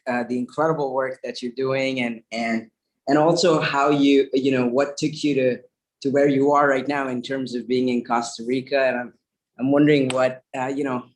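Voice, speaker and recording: Man in a meeting, monotone, courteous, stumbling over words. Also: mid-range voice, rough recording. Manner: polite, halting, restarts often. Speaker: audience member asking a question. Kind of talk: formal question in online meeting.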